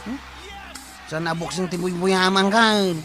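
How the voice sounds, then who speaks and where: A woman's voice: fairly low pitch, a little slow, a bit bright, faint music behind. A woman playing a cheeky, nagging young relative in a comic radio drama. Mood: exasperated.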